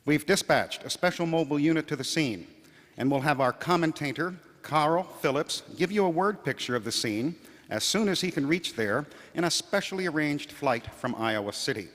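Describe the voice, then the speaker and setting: Old radio bulletin announcer guy, formal, serious, a little high pitched, hall echo on it. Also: lively intonation, moderate pace, a bit bright. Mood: serious, official. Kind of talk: old-time radio newsreading. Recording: hall reverb.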